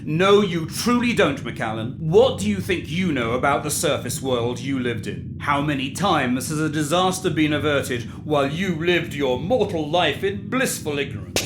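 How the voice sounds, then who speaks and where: Man acting a stern, higher-voiced military commander. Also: lively intonation, moderate pace, hardly any pauses, background noise, close to an everyday voice. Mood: indignant. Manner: formal, oratorical, forceful rhetorical questions. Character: stern, proud military commander, unyielding and righteous. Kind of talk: dramatic audio drama acting.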